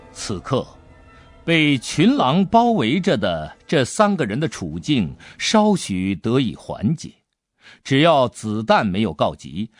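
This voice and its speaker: 一位男性有声小说演播者，用富有悬念和表现力的方式讲述故事。. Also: male voice, mid-range voice. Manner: suspenseful, expressive delivery. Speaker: audiobook narrator. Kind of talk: dramatic scripted storytelling.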